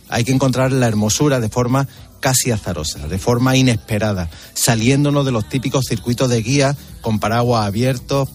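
A man reading a scripted, reflective personal column on the radio at a measured pace. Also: mid-range voice, darkish tone.

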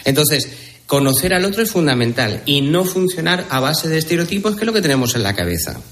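A man's voice: a little high, very animated, fairly quick, fairly bright tone. A man, a radio panel guest speaking earnestly and telling a personal anecdote. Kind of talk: reflective discussion with anecdote.

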